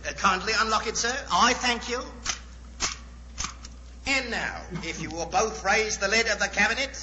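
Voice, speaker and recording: Man playing high-voiced, grandiose stage magician, old radio. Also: moderate pace, lightly acted. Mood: showy, commanding. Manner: florid, theatrical, formal patter with hesitations. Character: showy male stage magician, suave, grandiose, courteous. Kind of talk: 1940s radio drama acting. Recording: noisy, muffled 1940s radio recording, echoey stage.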